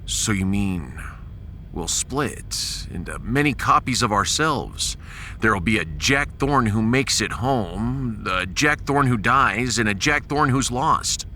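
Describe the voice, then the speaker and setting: A man's voice: on the low side, lively intonation, slow, sharp tone, a little hiss or hum, exaggerated voice. A man playing a bold, sarcastic starship captain in a science fiction audio drama, with a dramatic and wry delivery. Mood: questioning.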